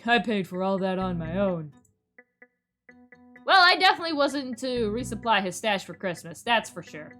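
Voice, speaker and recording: A woman's voice: medium pitch, very animated, moderate pace, a bit bright, soft background music. A woman voicing a sullen, laid-back young slacker guy who mumbles in slang, in a visual novel. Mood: sullen, casual. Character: gruff, slouchy young man, sullen slacker.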